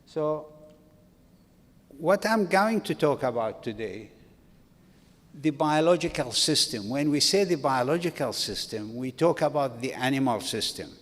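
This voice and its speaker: A man in his sixties, originally from Iraq, giving a slow, halting academic talk at a conference. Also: mid-range voice, expressive. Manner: slow, halting delivery with restarts. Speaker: conference speaker. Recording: long reverb of a conference hall.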